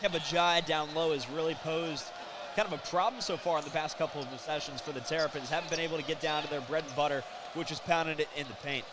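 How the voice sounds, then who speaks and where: A man's voice: fairly high pitch, steady tone, quick pace. An American man giving explanatory, emphatic live analysis as a basketball color commentator. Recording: noisy live arena broadcast. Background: basketball game ambience.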